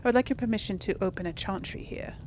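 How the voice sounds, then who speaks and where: A woman's voice: medium pitch, quick pace, a little mellow, a little hiss or hum. A woman hosting a gaming video with casual commentary, often muttering to herself hesitantly as she talks to viewers. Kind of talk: casual game commentary, talking to the audience.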